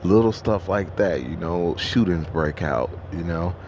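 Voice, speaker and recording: Deep gravelly man, 30s, trucker, profane rambling vlog talk, noisy truck stop behind. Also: a little slow. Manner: rambling and profane, with many restarts and fillers. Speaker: truck driver. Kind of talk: casual monologue to camera.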